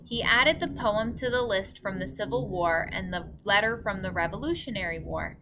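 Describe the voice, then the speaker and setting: A woman's voice: mid-range voice, normal speed, background noise. A woman reading a storybook aloud like a teacher, enunciating carefully for young children. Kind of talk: storybook read-aloud narration.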